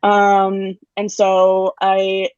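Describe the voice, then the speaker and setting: Young female actor, twenties, casual and hesitant, mid-pitched, on dry, slightly muffled online audio. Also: completely flat delivery, drawn-out words, darkish tone, clear, resonant tone, no room sound, soft background music. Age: 20s. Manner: hesitant, thinking aloud. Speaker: actor, graduating theater student. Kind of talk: casual chat.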